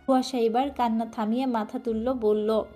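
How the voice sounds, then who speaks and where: Woman narrator, soft, emotive, slightly high voice, faint music under. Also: steady tone, moderate pace. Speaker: story narrator. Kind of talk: scripted romantic story narration.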